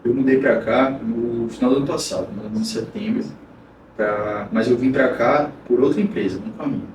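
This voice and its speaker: A man's voice: mid-range voice, lively intonation, brisk. Man recounting a story, roomy and distant. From Bahia, Brazil. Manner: frank, rambling recounting with many restarts. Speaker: podcast guest, engineer. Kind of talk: casual interview storytelling. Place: podcast studio. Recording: roomy, distant mic, noisy.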